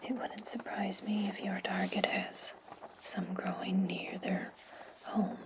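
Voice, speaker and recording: A woman playing a calm, commanding, sly witch in a whispered ASMR fantasy roleplay, instructing her servant slowly and deliberately. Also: mid-range voice, close to an everyday voice. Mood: hushed, instructive. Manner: slow, deliberate, hushed instruction.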